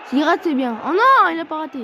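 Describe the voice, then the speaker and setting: Excitable young man shouting over game noise. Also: extremely high pitch, a little fast. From Portugal. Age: teens. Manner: excitable, shouts and swears at opponents. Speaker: gaming youtuber. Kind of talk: excited gameplay commentary.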